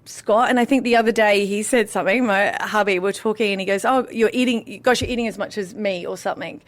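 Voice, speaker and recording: A woman in candid conversation, telling stories in an animated way and acting out remembered dialogue. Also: mid-range voice, fast.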